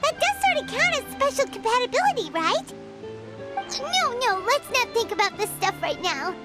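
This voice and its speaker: A woman's voice: very high-pitched, sing-song, moderate pace, loud music behind the voice. A woman voicing a small, chirpy, childlike fairy companion in a video game, earnest and chatty. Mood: uneasy. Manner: chatty, speaks of herself in third person. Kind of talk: video game character dialogue.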